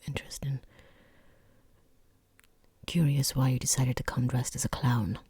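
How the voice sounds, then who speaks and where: A woman playing a rude, bored, condescending boss in a softly spoken, mostly whispered ASMR roleplay, close to the microphone, with snide, deliberate delivery. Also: rumbling low, steady tone, no room sound, exaggerated voice. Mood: coolly disapproving. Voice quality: breathy, husky whisper.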